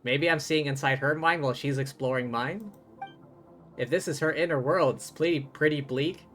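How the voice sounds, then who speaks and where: Higher-pitched man, casual game streamer. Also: normal speed, fairly bright tone, faint music behind. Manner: musing aloud, jokey. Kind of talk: casual live gameplay commentary.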